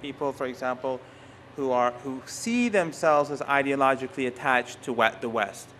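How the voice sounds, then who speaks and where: A middle-aged man whose first language is Persian, giving an academic conference talk, halting often and restarting his phrases. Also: medium pitch, a bit bright, slight background noise. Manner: halting, restarts phrases, stresses key words. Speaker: conference speaker. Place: university conference hall.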